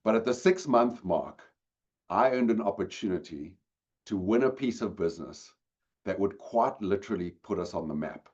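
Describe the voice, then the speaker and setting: A man's voice: fairly low pitch, animated, moderate pace, a little mellow, breathy edge, muffled recording. A man with a South African accent giving a webinar talk, addressing the audience directly and engagingly. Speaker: guest speaker. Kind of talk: webinar presentation. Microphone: video call.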